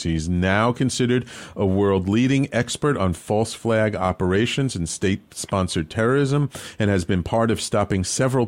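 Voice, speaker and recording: Halting male podcast host. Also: fairly low pitch, darkish tone. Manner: halting, many fillers and restarts. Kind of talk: interview hosting.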